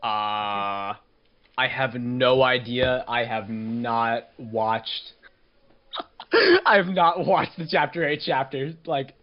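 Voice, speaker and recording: A man's voice: medium pitch, animated, very slow, highs cut off. A man talking casually as a panelist on a group podcast. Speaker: podcast panelist, fandub chapter editor.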